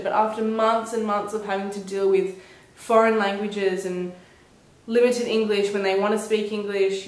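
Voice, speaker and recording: A young woman talks in a casual, chatty vlog style with a mid-range voice. She is an animated storyteller who slips into acting out what people said. The sound is roomy, with noticeable echo. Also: mid-range voice. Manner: animated storyteller, acts out reported dialogue. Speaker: travel vlogger.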